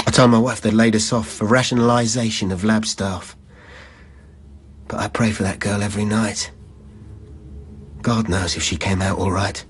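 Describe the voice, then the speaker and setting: A man's voice: on the low side, steady tone, normal speed, pauses often, slightly rough. A man playing a guilt-ridden former lab worker, giving a plain-spoken rhyming confession in a poetic radio drama. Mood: guilty, anxious.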